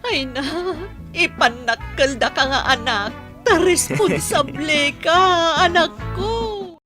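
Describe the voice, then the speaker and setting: A woman's voice: high voice, slow. A woman with a northern Philippine accent playing a fussy, sentimental middle-aged mother in a radio drama, exclaiming with emotion. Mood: tearful pride. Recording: radio drama with faint music behind.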